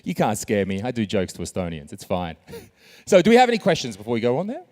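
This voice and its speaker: A male moderator runs a conference Q&A in an upbeat, chatty way, cracking jokes at the quiet room. His pitch swings widely, rising and falling as he talks. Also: wildly swooping pitch, quick pace. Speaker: panel moderator.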